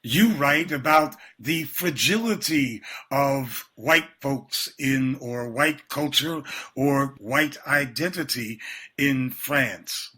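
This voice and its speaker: A man hosting a radio interview, asking measured, deliberate questions. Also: medium pitch, bright, forceful tone, husky.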